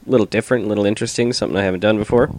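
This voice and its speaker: A man's voice: lowish voice, fairly even intonation, racing, a little mellow. A man on a podcast for the first time, speaking hesitantly with dry, self-deprecating remarks. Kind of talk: casual banter.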